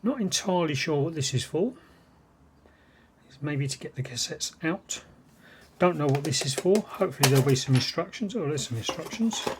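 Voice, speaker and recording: A man's voice: fairly high pitch, expressive, normal speed, noisy background. A British man chatting casually and unscripted as he shows items on his vlog, rambling and musing aloud. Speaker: vlogger showing vintage cassette gear. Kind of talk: casual unscripted unboxing chat.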